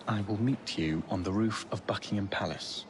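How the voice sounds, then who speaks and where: An actor playing a proper, exasperated police officer with an earnest, grumbling manner in a video game cutscene. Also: male voice, on the low side, moderate pace, lightly acted. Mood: grudging. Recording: noisy ambience behind voice.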